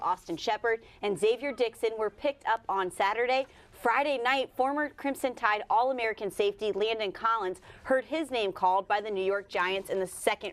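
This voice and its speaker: A woman anchoring a sportscast, reading scripted news and teasers. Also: mid-range voice.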